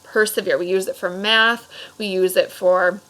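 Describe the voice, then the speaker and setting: A woman's voice: medium pitch, fairly even intonation, moderate pace, a bit bright, poor audio. A woman teacher reading aloud and explaining to children, instructive and checking in with questions.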